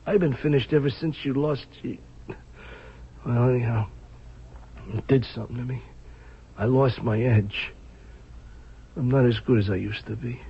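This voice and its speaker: A man's voice: mid-range voice, steady tone, normal speed, vocal fry, pauses often, husky. A man playing a desperate small-time safecracker in a radio drama, stammering and pleading in streetwise slang. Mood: pleading, dejected. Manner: stammering, repetitive, wheedling pleas. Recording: dull lo-fi radio drama recording.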